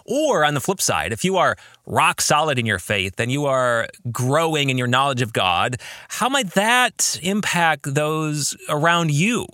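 An American man hosting a reflective book discussion podcast, phrasing his thoughts deliberately as he addresses listeners. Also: a little high, expressive, sharp tone, dry recording, studio quality. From American Midwest. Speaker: podcast host, author and pastor.

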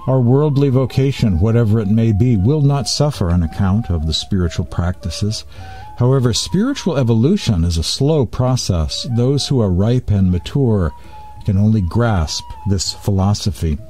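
A man hosting a spiritual program, reading and commenting on devotional texts in measured, deliberate phrases with pauses. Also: lowish voice, expressive, dark tone, soft background music.

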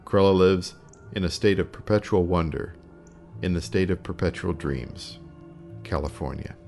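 A man's voice: deep voice, moderate pace, a little mellow, soft background music. A man working as a podcast announcer, reading scripted introductions.

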